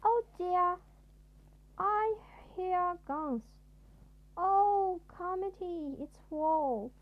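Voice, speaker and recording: A woman's voice: very high voice, drawn-out words, phone-quality sound, pitched above the performer's own voice, heavily acted. A woman voicing a timid, panicky male storybook character who exclaims in melodramatic fright. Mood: panicked.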